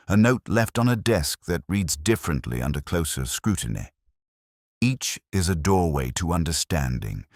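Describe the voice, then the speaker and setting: A man with a very low voice narrates a literary mystery in a measured, reflective way. His pitch moves expressively through each formal phrase. The recording is dry and close, with little room sound. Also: dry recording. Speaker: narrator of a detective story. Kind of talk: scripted literary audiobook narration.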